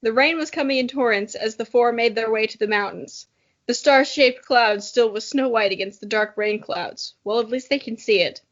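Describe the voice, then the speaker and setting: A woman's voice: a little high, normal speed, fairly bright tone, highs cut off. A woman podcast co-host giving a dramatic reading of a childhood story with self-mocking, giggly asides.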